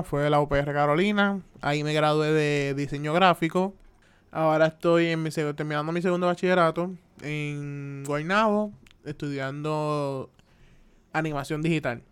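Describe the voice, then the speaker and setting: A man's voice: a little high, clear, resonant tone. A man in his twenties with a Puerto Rican accent, hosting a casual solo podcast and chatting loosely, with lots of "este" and "pues" fillers. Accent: Puerto Rican Spanish. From Puerto Rico. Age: 20s. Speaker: podcast host.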